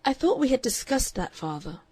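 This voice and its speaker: A woman's voice: high voice, very animated, brisk, breathy edge, no room sound, studio quality, voice pushed up, exaggerated voice. A woman doing a defiant young woman. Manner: bright, falsely cheerful, then pleading.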